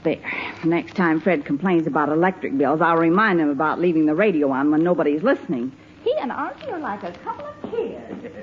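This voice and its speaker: An American actress playing an exasperated, thrifty middle-aged housewife who grumbles and scolds in an old radio comedy. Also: female voice, low-pitched, sing-song, brisk. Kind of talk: 1940s-50s radio comedy acting. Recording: muffled, hissy old radio recording.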